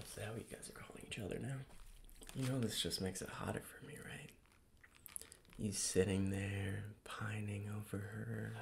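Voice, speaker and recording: A young man playing quietly sparring tennis rivals in a hushed, understated, teasing ASMR reenactment. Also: on the low side. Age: young adult. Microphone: desk microphone, close. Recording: clearly noisy close-mic recording, crinkling.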